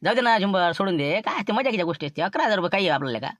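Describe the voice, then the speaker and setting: A man's voice: high-pitched, lively intonation, very fast, fairly bright tone, dry recording, studio quality. A man voicing a gossipy village man in a comic cartoon, speaking colloquial Marathi with a Varhadi accent. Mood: conspiratorial. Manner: colloquial, chatty. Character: gossipy village man chatting over the newspaper.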